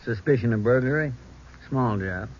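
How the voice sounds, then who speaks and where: Man doing a matter of fact station cop, medium pitch, noisy muffled old radio drama. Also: normal speed, natural voice. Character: helpful, matter-of-fact male station police officer. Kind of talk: 1950s radio police drama acting. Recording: dull, noisy old-time radio recording.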